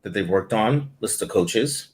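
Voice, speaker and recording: Lowish male voice, guest talking shop, lots of ums, thinks out loud. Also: expressive, measured pace. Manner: conversational, lots of ums and restarts. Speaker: guest, voiceover audition director. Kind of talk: casual interview answers.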